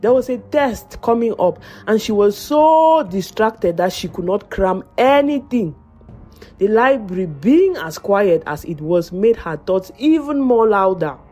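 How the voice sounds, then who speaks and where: Female storyteller for folktale narration. Highly animated pitch, dramatic delivery, slightly husky tone, faint music underneath. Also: measured pace.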